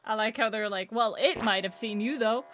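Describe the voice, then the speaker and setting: A woman's voice: fairly high pitch, moderate pace, over music. A woman reacting on a livestream in a casual, playful way, talking back to the video she watches. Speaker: streamer reacting to a horror series.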